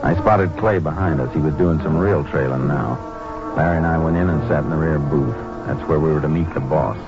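An actor playing a cool, laconic American Texas Ranger with a deadpan delivery, in a 1950s radio drama. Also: male voice, a bass voice, a little fast, music in the background. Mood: terse narrating. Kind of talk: 1950s radio drama acting with first-person narration. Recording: muffled vintage 1950s radio recording.

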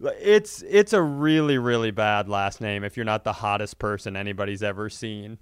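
A man hosting a comedy podcast, telling casual, joking, profanity-laced stories in an animated way. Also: fairly low pitch, moderate pace, smooth, dry recording, studio quality.

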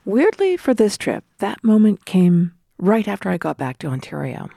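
A woman's voice: medium pitch, sing-song, moderate pace, creaky, dark tone, no echo, clean studio sound. A woman hosting a travel podcast, narrating a scripted story in a reflective, wry manner. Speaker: podcast host.

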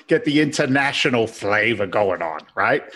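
A man's voice: mid-range voice, lively intonation, moderate pace, a bit bright. A man in his fifties from England, with an English accent tinged with American, chatting as a guest on a business podcast in a brash, jokey, slangy way. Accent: English mixed with American. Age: 50s. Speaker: podcast guest, real estate investor. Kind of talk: casual interview chat.

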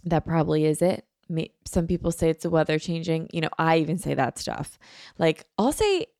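Woman podcast host, lowish, fry-heavy voice, slightly nasal, rambling casual chat. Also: animated, normal speed, darkish tone, no echo, clean studio sound. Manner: chatty and rambling, many likes and ums. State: a little nasal from allergies.

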